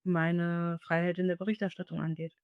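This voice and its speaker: A woman's voice: fairly low pitch, little pitch movement, normal speed, narrow, tinny audio. A woman giving spontaneous, thoughtful and somewhat hesitant answers in an interview. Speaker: interviewee, journalist.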